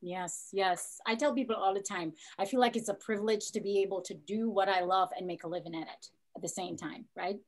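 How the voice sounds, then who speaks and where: A woman's voice: medium pitch, steady tone, a little fast, hardly any pauses. A middle-aged woman moderating a panel on a video call in an affirming, encouraging manner. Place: plain indoor room. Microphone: computer on a video call.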